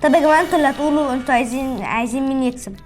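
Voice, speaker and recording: A woman's voice: high-pitched, rapid-fire, soft background music. A woman with an Egyptian Arabic accent hosting casual, bantering gameplay in a whiny, pleading, competitive way. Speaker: gaming channel host.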